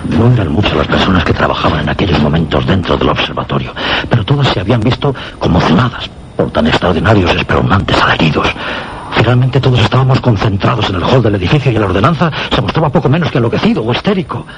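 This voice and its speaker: An actor with a Castilian accent playing an astonished researcher who recounts an eerie mystery in a suspense radio play, deliberate and full of dramatic pauses. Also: male voice, lowish voice, expressive, a little hiss or hum, natural voice, rough recording. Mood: grave, suspenseful. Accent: Castilian Spanish. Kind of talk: Spanish suspense radio drama, first-person narration and dialogue. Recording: radio drama with prominent music behind.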